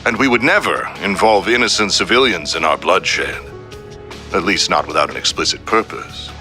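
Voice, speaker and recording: A suave syndicate boss in a video game. The voice is deep and very forceful, with grandiose, courteous phrasing that carries calm menace over faint music. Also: male voice, moderate pace. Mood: grand, sinister. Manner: formal, grandiose, calmly threatening. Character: suave, menacing male crime syndicate boss, coldly polite. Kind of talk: scripted villain monologue in a video game.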